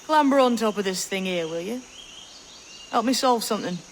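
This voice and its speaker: Shrewd mid-pitched pirate played by a woman. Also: animated, moderate pace, noisy background, lightly acted. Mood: knowing, coaxing. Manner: knowing, informal seafaring talk. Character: shrewd, enigmatic young pirate mentor, guarded. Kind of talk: game cutscene drama acting.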